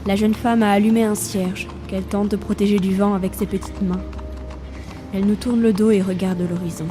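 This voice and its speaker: A woman's voice: medium pitch, fairly even intonation, a little fast, lightly acted. A woman playing Death as a weary reaper burdened by the role, in a grave, theatrical audio drama monologue. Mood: weary, brooding. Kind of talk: narrated audio fiction, dramatic first-person monologue. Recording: music behind the voice.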